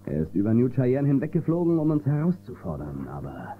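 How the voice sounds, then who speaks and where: A brave marshal of a space western, confident and reassuring. His delivery is lively in pitch. It sounds like a thin, noisy old audio-drama cassette. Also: a little fast, phone-quality sound. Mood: resolute. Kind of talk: German children's audio drama acting.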